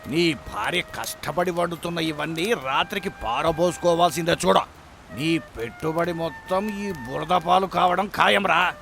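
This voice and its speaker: A man playing an arrogant, mocking rich village hotel owner in a dramatized moral story, with a gravelly voice. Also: fairly high pitch, a little slow, a bit bright, a little hiss or hum. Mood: scornful. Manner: scornful, taunting, pompous.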